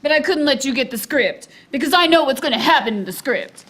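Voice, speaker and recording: Woman acting an unhinged, very shrill scheming dame. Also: fairly quick. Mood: desperate, defiant. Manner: theatrical, excitable. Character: unhinged scheming femme dame, melodramatic conjoined sister. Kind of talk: broad comedy radio serial acting. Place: theater stage, performed live.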